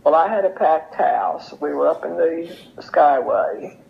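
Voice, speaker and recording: A woman's voice: deep voice, a little slow, gravelly. An older woman, telling anecdotes in a casual, chatty way as an interview guest. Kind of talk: casual storytelling. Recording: muffled lo-fi audio with noise.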